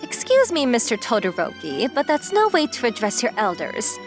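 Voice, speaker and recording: An actress playing a petite, haughty young interviewer with a childlike cuteness and a huffy, scolding manner in a manga dub. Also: female voice, fairly high pitch, huge pitch swings, normal speed. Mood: indignant, flustered. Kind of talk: scripted manga dub dialogue. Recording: prominent jingly music behind the voice.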